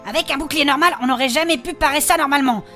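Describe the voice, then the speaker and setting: A woman's voice: high voice, quick pace, a bit bright, soft background music. A woman playing a bold, stubborn, hot-headed armoured adventurer, commanding and impatient, in a French fantasy audio comedy. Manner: commanding, impatient, foul-mouthed.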